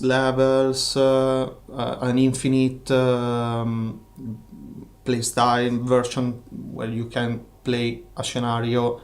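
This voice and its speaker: A man with an Italian accent hosting a casual livestream Q&A as a game designer, talking in a halting way with many filler pauses and sounding a little tired. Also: mid-range voice.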